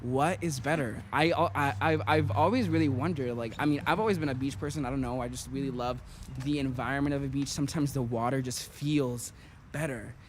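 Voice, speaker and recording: A man's voice: mid-range voice, fairly even intonation, brisk, noisy background. Rambling young man, chatty. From Puerto Rico. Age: 20s. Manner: rambling, full of "like" and "you know". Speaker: singer introducing songs. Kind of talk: casual chat between songs.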